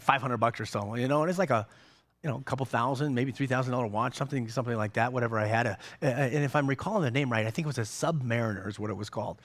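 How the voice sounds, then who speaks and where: A middle-aged man preaching a sermon on a church stage, animated, with many repeated words and restarts. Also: medium pitch. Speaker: pastor.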